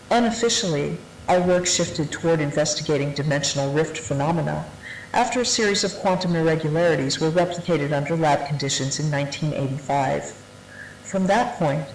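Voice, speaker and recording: A narrator playing a research division instructor who delivers a classified briefing in a formal, deliberate, matter-of-fact way, as in an analog horror training tape. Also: medium pitch, natural voice. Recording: roomy, noisy 1990s VHS-style tape sound.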